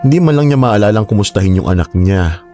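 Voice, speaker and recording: Guy acting as worried exasperated uncle, dark low voice, radio drama. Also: lively intonation, fast talker, close to an everyday voice. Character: concerned, exasperated middle-aged uncle. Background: music.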